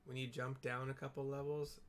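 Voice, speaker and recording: A man giving casual, halting commentary as he plays through a video game and describes what is on screen. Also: medium pitch, level tone. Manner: halting, informal. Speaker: gaming video host.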